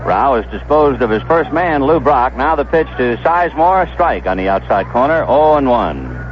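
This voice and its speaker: American radio baseball announcer, animated male pitch. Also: normal speed. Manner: conversational, descriptive storytelling between pitches. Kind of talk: play-by-play commentary. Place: ballpark broadcast booth. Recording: dull, noisy vintage 1970s radio broadcast.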